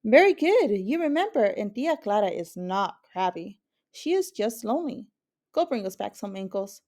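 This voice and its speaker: A woman voicing a kindly, encouraging father in a children's storybook, gently questioning and advising. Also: medium pitch, sing-song, normal speed.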